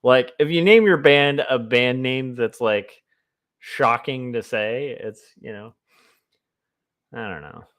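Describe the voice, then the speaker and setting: A man of middling pitch talks casually to his stream while drawing. His voice is naturally loud and sits close on the mic. He rambles along with plenty of ums and likes. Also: takes it slowly. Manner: rambling, chatty, many fillers. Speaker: comic artist streamer. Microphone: desk mic close to the mouth.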